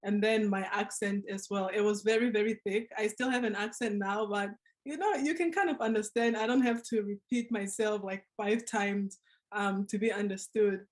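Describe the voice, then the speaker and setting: A woman's voice: medium pitch, normal speed. A woman in her twenties telling her personal story on a panel, earnest and reflective, over a video call. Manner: earnest, reflective, with soft laughs. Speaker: panel speaker.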